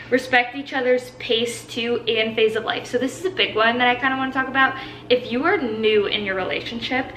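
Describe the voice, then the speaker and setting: A woman's voice: on the high side, sharp tone, echoey room, a little hiss or hum, rough recording. A woman in her twenties, a fitness coach whose first language is Portuguese, giving tips in a chatty, upbeat Q&A with lots of "like"s. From Brazil. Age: 20s. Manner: chatty, filler-heavy with many "like"s, upbeat. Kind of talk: casual Q&A monologue.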